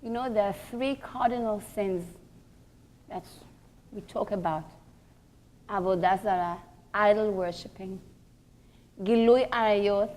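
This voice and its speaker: A woman's voice: on the high side, darkish tone. A woman giving a religious lecture, teaching emphatically, repeating key phrases and posing rhetorical questions.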